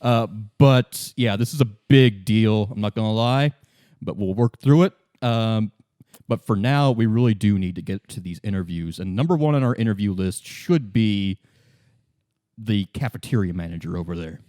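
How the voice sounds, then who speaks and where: A man playing a calm, polite police lieutenant in a tabletop game, speaking haltingly and reassuringly. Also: lowish voice, no room sound, clean studio sound, lightly acted. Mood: steady, reassuring. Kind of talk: improvised tabletop roleplay.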